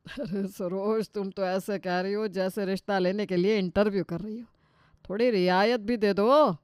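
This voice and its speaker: A man's voice: high voice, fairly even intonation, normal speed, clear, resonant tone, no room sound, natural voice. Man as a wry, resigned Indian father. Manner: dry, joking, resigned.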